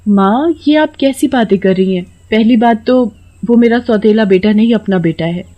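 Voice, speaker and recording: A woman voicing a loving but easily stern young mother in a cartoon story, earnest and expressive. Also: fairly high pitch, moderate pace, highs cut off, voice pushed up, close to an everyday voice. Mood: earnest, affectionate.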